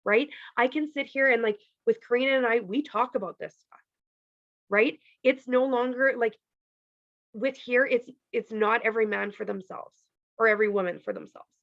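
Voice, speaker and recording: A woman from Canada walking her team through a pay plan on a video call, chatty and full of "like" and "okay", her voice going hoarse. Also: on the high side, normal speed, dry recording, dull audio. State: losing her voice. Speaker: team leader presenting a compensation plan. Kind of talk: casual presentation on a video call.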